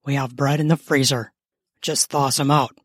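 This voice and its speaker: A woman playing a grumpy, dominant young man roused from sleep. His voice is husky and growling, commanding even when groggy. It sits close and dry. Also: very deep voice, moderate pace, dry recording, voice pushed down.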